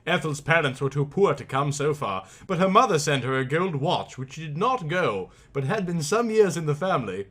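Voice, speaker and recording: A man narrating a storybook theatrically, with emphatic, drawn-out words and big swells and drops. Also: a little high, big pitch swings, fairly bright tone. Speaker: reader of a storybook. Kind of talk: theatrical comic audiobook narration.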